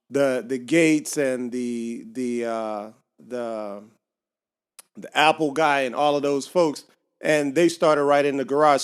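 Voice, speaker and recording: A man's voice: medium pitch, clear, resonant tone. A man hosting a casual podcast interview over a video call, talking with lots of ums and restarts. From Miami. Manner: hesitant, many fillers and restarts. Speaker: podcast host. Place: home garage.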